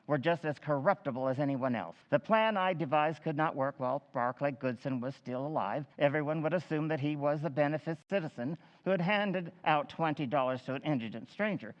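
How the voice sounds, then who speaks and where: A town chairman in a radio play, voiced by a man with a somewhat high voice, declaiming and then rattling off bids. The sound is dull and echoey, with a long hall reverb. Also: lightly acted. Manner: declamatory, brisk auctioneer patter. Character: pompous small-town meeting chairman and auctioneer. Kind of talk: old-time radio drama recreation.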